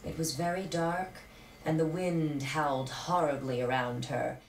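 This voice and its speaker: A woman reading a children's story aloud with slow, dramatic narration. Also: low-pitched, fairly even intonation, reverberant, a little hiss or hum, rough recording. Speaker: audiobook narrator. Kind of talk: scripted narration.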